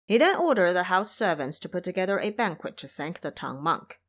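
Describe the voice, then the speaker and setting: A woman's voice: on the low side, sing-song, fairly quick. A woman reading scripted narration for an audio drama, as the storyteller.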